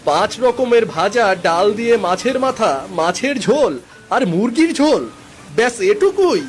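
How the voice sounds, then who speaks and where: A man's voice: very high-pitched, big pitch swings, normal speed. A man playing a demanding, peevish young son-in-law who complains with entitlement in a Bengali cartoon, over music. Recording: background music under the voice.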